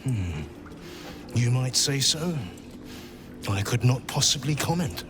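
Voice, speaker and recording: An actor voicing a pompous male guild bursar in a game, speaking in a formal, measured and guarded way. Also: medium pitch, animated, over music. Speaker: game character. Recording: game audio with ambient noise.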